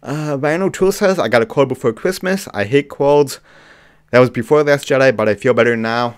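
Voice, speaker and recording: A man hosting a live movie chat, slightly hoarse with a cough, talking casually with lots of ums. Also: mid-range voice, brisk. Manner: relaxed, frequent ums and restarts. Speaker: livestream host. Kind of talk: casual live chat reading viewer comments.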